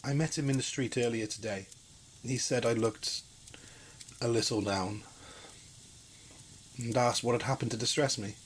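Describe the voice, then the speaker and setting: An actor plays a gentle working-class father bearing grief in an audio drama. His voice is medium in pitch and kindly in manner. Slight noise runs behind it. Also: fairly even intonation, moderate pace, long pauses, lightly acted. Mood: gentle, subdued.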